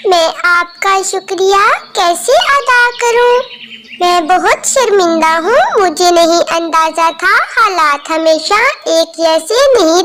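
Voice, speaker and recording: Woman doing a very high, humbled mother bird. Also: heavily acted. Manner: pleading, earnest. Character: proud rich mother bird, now humbled and ashamed. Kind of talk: children's cartoon moral story. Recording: very noisy, birdsong behind.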